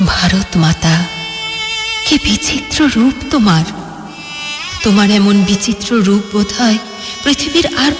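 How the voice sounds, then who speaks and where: A woman playing an ageless, curious and compassionate spirit of time in an audio drama, speaking with dramatic wonder. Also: fairly high pitch. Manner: wondering, dramatic, measured delivery. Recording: echoey hall-like reverb under music.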